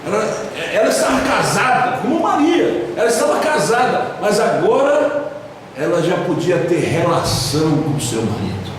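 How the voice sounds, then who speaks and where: A Brazilian pastor with a southern Brazilian accent preaching a sermon in a church hall, with sudden loud emphasis and slowly drawn-out stresses. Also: male voice, high voice, big pitch swings, slight background noise. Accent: southern Brazilian Portuguese. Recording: echoey church hall from far off.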